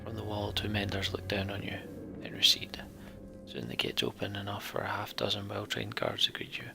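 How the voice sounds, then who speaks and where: A man hosting a game playthrough, speaking in a hushed voice as he comments and narrates the story text. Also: lowish voice, completely flat delivery, moderate pace, vocal fry, whispered. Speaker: let's play host. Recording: game music behind the voice.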